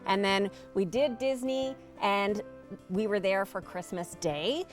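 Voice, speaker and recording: A woman's voice: mid-range voice, slow pace, soft background music. A woman from Canada telling personal stories casually on a panel show. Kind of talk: casual chat, personal storytelling.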